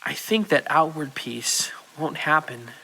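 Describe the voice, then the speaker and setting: An actor playing a humble, earnest runaway slave, speaking hesitantly and with heartfelt feeling in a Bible drama. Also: male voice, a little high, unhurried, vocal fry, sharp tone, husky, big-room sound, heavily acted, low-quality recording.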